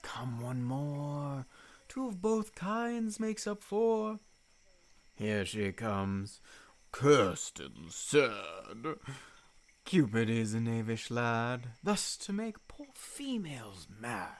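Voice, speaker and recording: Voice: mid-range voice, very animated, extremely slow, full character voice. An actor playing a mischievous goblin spirit in a Shakespearean radio play, delivering playful rhymes in a theatrical way. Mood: gleeful, scheming. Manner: playful, rhythmic rhyming.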